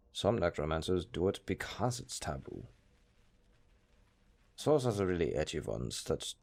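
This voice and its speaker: A man's voice: sits low, gravelly, a little mellow, heavily acted. A man playing a pragmatic, chatty necromancer who talks casually and matter-of-factly about grisly work in an audio roleplay. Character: chatty, pragmatic male necromancer, former tailor. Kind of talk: intimate audio roleplay acting.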